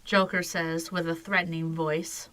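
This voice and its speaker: A woman's voice: fairly low pitch, level tone, a little slow, pushed and bright. A woman narrator reading scripted storytelling for an audio drama.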